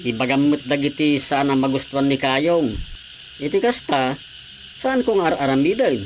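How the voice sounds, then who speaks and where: A man's voice: medium pitch, moderate pace, a little hiss or hum, natural voice. A man acting as a cheeky, eager, teasing visiting cousin in a comedy radio drama.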